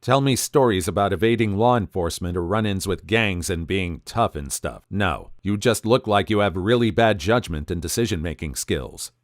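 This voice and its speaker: A man narrating reader stories aloud in a wry, animated way. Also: sits low, normal speed, few pauses, dry recording, clean studio sound. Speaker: narrator reading online posts.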